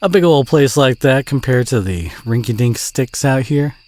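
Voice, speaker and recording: A man playing a friendly, modest country boy with a Southern US accent, chatty and gently teasing in an intimate audio roleplay. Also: medium pitch, expressive, normal speed, natural voice. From southern United States.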